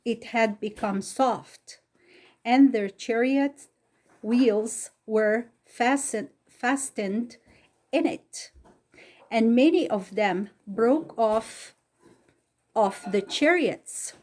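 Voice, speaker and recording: A woman from Egypt with an Egyptian accent and Arabic as her first language, reading Bible stories aloud in careful, halting English. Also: a little high, a little mellow. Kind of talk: slow read-aloud storytelling.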